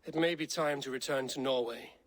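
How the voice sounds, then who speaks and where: An actor playing a steady Viking clan leader in a game cutscene, speaking in a measured, grave way. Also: male voice, mid-range voice, noisy background, lightly acted.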